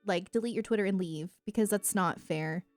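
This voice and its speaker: A woman's voice: medium pitch, brisk, darkish tone, no room sound. A woman with an American accent chats casually to livestream viewers in a rambling, informal, opinionated way, close on a streaming mic. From United States. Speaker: live streamer and content creator. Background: music.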